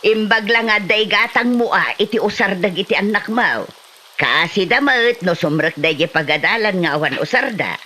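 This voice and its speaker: A woman acting a lively, neighbourly moneylender in an Ilocano comedy drama, with a Filipino Ilocano accent and an exclamatory manner. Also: mid-range voice, moderate pace, a little hiss or hum.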